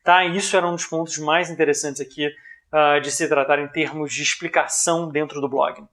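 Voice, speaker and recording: A Brazilian man with a fairly high-pitched voice explains business ideas informally. He speaks in a didactic but conversational manner, like a presenter talking directly to the listener, and often adds small tags to check they are following. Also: steady tone, brisk, fairly bright tone. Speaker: presenter of a business analysis video.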